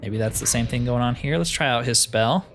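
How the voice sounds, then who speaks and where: A man's voice: medium pitch, brisk, faint music behind. A man giving casual gameplay commentary in a laid-back way, musing to himself as he plays. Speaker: gaming streamer.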